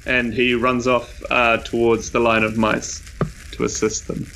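Guy improvising his game character, halting, forceful mid voice, slight background noise. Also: mid-range voice, monotone, low-quality recording. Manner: halting, thinking aloud. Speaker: player in tabletop game podcast. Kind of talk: improvised narration of own character.

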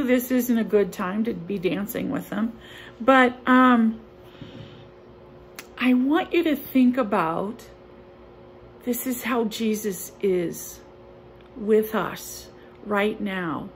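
A woman in her sixties, a pastor preaching a sermon from her living room into a close-up phone camera, speaking slowly and earnestly in a conversational way with a few fillers. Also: on the high side, a little hiss or hum, poor audio. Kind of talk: home-recorded sermon. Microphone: phone or webcam close up.

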